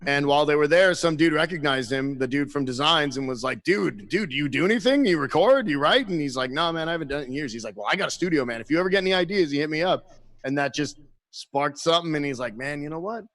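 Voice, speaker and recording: A man tells band stories in casual chat with a voice a bit higher than most men's. He acts out other people's lines and swears freely. The sound is close and dry, with no room in it. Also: fast, dry recording. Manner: animated storyteller, acts out quotes, swears freely. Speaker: guest musician.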